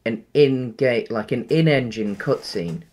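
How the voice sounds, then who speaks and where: A man's voice: mid-range voice, expressive, a little slow, darkish tone. A man in his thirties co-hosting a casual film chat over a headset on a video call from home, rambling with many restarts and fillers. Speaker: podcast co-host.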